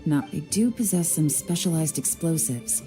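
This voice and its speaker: An actress playing a cool, composed and shrewd young woman in charge of an investigation in a video game, measured and commanding. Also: low-pitched, lively intonation, warm tone, soft background music. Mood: calm, analytical. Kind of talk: video game character acting.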